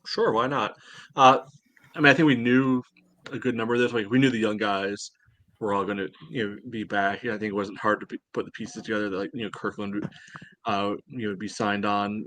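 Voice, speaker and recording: A man co-hosting a casual sports podcast, giving his take in a dry, deadpan way. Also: fairly low pitch, brisk. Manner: dry, dull deadpan delivery. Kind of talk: casual panel commentary.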